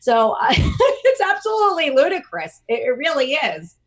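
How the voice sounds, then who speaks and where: A woman co-hosting a political talk show, animated and sarcastic with quick asides. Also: high voice. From New York. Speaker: co-host.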